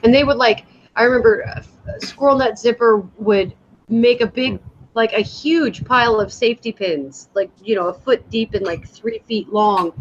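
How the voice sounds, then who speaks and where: A woman's voice: on the high side, moderate pace. A woman in a casual podcast chat, blunt with dry asides. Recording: dull lo-fi sound with faint noise.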